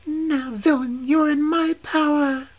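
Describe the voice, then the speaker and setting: A fierce young witch maiden from a storybook hisses and shrills out threats in a voice pitched far above a man's own, as a male reader puts her on. She sounds scornful and merciless, the words pushed hard and loud. It is plainly a different character from the reader. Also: fairly even intonation, normal speed. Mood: vengeful. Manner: shrill, high, seething threats.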